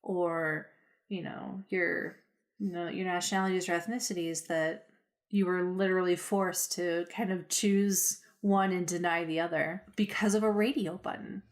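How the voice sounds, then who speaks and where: Woman podcast co-host, reflective, halting delivery full of fillers. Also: fairly low pitch, steady tone. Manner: thoughtful, hesitant with many fillers. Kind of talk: casual chat.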